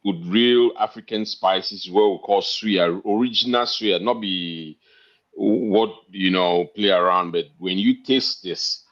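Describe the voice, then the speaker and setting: A man in his fifties with a Cameroonian accent, talking politely and haltingly as an interview guest. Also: low voice, fairly bright tone. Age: 50s. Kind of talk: casual interview talk. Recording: dull, lo-fi internet call audio.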